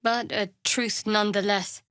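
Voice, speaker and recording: A woman playing a guarded, sardonic young cleric of a dark goddess in a video game, with dry, clipped delivery. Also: medium pitch, fairly even intonation, unhurried, clean tone, no echo, close to an everyday voice. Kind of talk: video game character dialogue.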